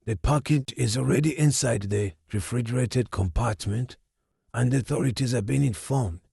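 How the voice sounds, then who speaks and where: Man playing confident mafia boss, scheming, rough husky timbre, dry close sound, measured audio drama delivery. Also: mid-range voice, warm tone, no room sound. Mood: menacing. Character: calculating, coldly confident male mafia boss.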